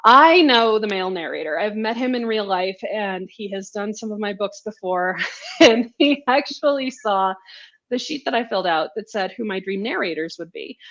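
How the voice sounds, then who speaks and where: A woman author chatting casually in a live interview, telling stories in an animated, giggly way over a video call. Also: mid-range voice, muffled recording. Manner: chatty, animated storytelling, laughs often. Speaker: romance author being interviewed. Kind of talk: casual live book chat.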